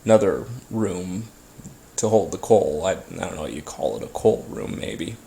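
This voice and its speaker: A man telling a first-person story casually, as if being interviewed, in a halting, hesitant way with pauses and repeats. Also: low-pitched, expressive, vocal fry, mellow. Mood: uneasy, reflective. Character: ordinary man recounting childhood brushes with a haunting.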